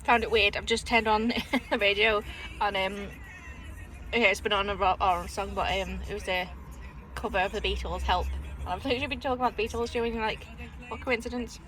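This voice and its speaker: A woman with a soft Liverpool accent tinged with Lancashire, vlogging in a chatty, rambling way, full of fillers and little laughs. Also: medium pitch, fairly even intonation, a little fast, soft background music, rough recording. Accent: soft Liverpool with Lancashire influence. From Liverpool, England. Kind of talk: casual vlog chat. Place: town street, then in a parked car.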